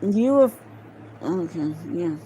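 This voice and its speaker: A woman's voice: on the low side, animated, takes it slowly, mellow, lo-fi sound. A woman reading a fantasy novel aloud as an amateur, hesitant and often stumbling over words. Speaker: amateur audiobook reader. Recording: home recording with faint background noise.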